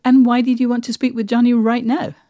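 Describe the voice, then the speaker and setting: A woman's voice: fairly high pitch, flat delivery, brisk, warm tone, dry recording. A woman hosting a podcast in a conversational presenting style. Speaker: podcast host.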